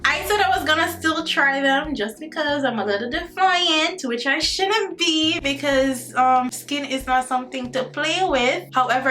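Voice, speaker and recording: A woman's voice: sits high, sharp tone. A young woman in her twenties with a Jamaican accent, chatting upbeat and directly to viewers in a casual skincare vlog, recorded at home on a camera mic. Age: 20s. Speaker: beauty vlogger. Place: indoor room at home, not a bathroom.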